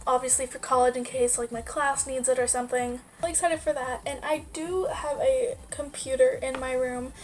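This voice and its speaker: Casting: young woman, high voice, nasal and stuffy from a cold, rambling casual vlog chat, faint music underneath. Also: steady tone, brisk, hardly any pauses. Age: young adult. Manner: rambling, lots of "like" fillers. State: stuffy-nosed from a sinus infection. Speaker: vlogger. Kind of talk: casual life-update chat.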